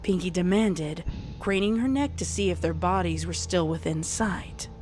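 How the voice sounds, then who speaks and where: A woman's voice: fairly low pitch, normal speed, a little mellow. A woman reading a dramatic scripted story as its narrator. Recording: music behind the voice.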